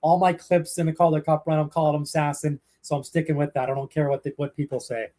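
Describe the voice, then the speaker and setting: A young man with a Canadian accent talks as an interview guest, rambling through behind-the-scenes stories. His voice sits a bit high for a man. He fills pauses with ums and uhs and restarts his sentences often. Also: flat delivery, dark tone. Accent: Canadian English. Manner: chatty storyteller, many fillers and restarts. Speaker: hockey play-by-play broadcaster, guest. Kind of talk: casual interview answers.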